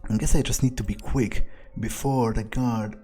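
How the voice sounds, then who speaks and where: A man thinking aloud in character as he plays a video game, muttering deliberately and swearing now and then. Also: mid-range voice, steady tone, mellow, slightly rough, soft background music. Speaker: gamer doing an in-character playthrough.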